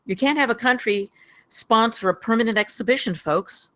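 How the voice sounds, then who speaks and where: A woman's voice: on the high side, moderate pace, a bit bright, natural voice. Woman reading a weary, earnest former government man. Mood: matter-of-fact. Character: ex-government man, weary, defensive, an earnest Disney ride fan. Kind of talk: script table read.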